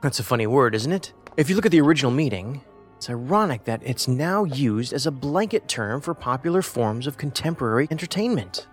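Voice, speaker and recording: Brainy detective, mid voice, measured and pedantic, bit of music behind. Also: male voice, medium pitch, lively intonation, slightly rough. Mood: analytical. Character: cerebral, musing male investigator. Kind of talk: audio drama acting.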